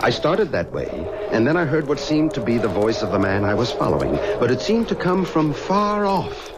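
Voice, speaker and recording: An actor playing a stubborn, respectable undertaker, brisk and commanding, in old-time radio drama style. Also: male voice, mid-range voice, big pitch swings, natural voice. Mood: narrating, uneasy. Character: stubborn, reputable, God-fearing male undertaker. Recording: noisy old radio recording.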